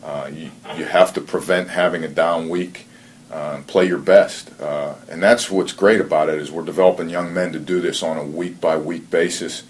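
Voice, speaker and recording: A man working as a football coach, giving earnest, measured interview answers on a conference call and often repeating his phrases. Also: sits low, fairly even intonation. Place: conference call, faint noise behind. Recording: noisy conference call audio.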